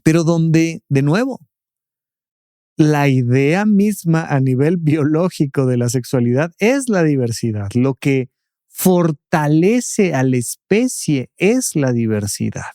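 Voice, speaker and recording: Man, expert explainer, rhetorical questions, slightly high voice, dry close sound. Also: expressive, slow pace, warm tone, dry recording. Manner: explains patiently, tags points with rhetorical questions. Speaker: doctor and expert guest. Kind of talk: explanatory podcast talk. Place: podcast studio.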